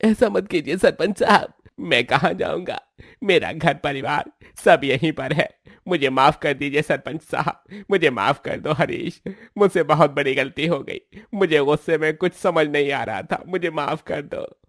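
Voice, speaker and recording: A man's voice: on the high side, a little slow, fairly bright tone, no echo, studio quality. A man voicing a desperate wrongdoer who begs and whines for forgiveness in a dramatized moral story. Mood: desperate pleading. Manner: whining, pleading. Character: pleading, panicked wrongdoer begging forgiveness.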